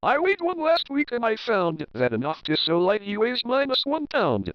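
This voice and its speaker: Man, children's story narrator, smooth clear voice, expressive rhythmic verse reading, close dry sound, slightly muffled recording. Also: high-pitched, normal speed, no echo. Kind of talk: children's picture-book readalong narration.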